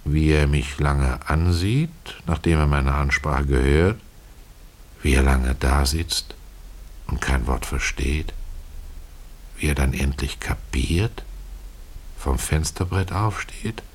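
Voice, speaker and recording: A very low, bass male voice a poor, kindly Russian caretaker in a radio play. He tells his own story in a folksy, ruminative manner, pausing for rhetorical asides to his listener. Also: exaggerated voice. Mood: troubled, pitying. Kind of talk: dramatic first-person literary storytelling, radio play.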